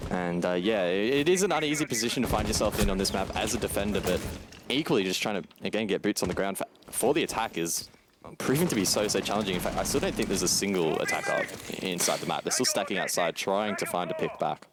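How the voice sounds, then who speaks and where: A man's voice: sits low, lively intonation, fast. A man delivering live esports commentary, analytical and carefully worded. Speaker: esports match caster. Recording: game gunfire noise behind voice. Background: video game gunfire and ambience.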